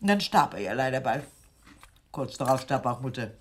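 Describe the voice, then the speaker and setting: An actress playing a chatty, eccentric older aunt in a German radio play, gushing, theatrical and breezily insistent. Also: female voice, rumbling low, very animated, a little fast, slightly rough, exaggerated voice. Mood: wistful, chatty.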